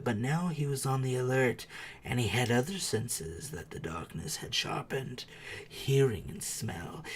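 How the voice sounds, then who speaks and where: A man's voice: mid-range voice, a little hiss or hum. A man reading a storybook aloud as a dramatic narrator, with theatrical, suspenseful delivery. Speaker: audiobook reader.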